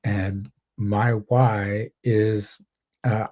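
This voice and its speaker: Dark, husky man speaking emphatically. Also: lowish voice, level tone, extremely slow. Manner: emphatic, with many fillers. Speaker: panel speaker. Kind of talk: panel self-introduction.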